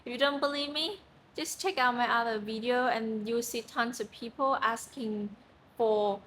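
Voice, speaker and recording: A woman's voice: on the high side, moderate pace, slight background noise. Chatty Vietnamese-accented woman, roomy echo. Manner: excitable, repeats phrases for practice, playful slang. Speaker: travel vlogger teaching phrases. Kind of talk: casual chatty lesson.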